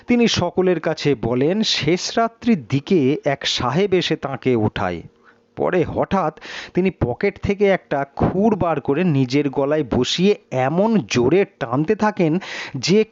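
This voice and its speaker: A man's voice: on the high side, a little mellow, no room sound. A man reading a story aloud as a dramatic audiobook narrator. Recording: slightly dull, muffled sound.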